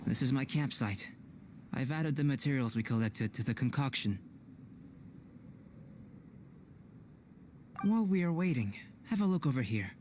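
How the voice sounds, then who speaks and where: Calm, analytical young alchemist, played by a man. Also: mid-range voice, a little hiss or hum, close to an everyday voice. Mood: measured, instructive. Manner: measured, formal, scholarly delivery. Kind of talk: scripted video game voice acting.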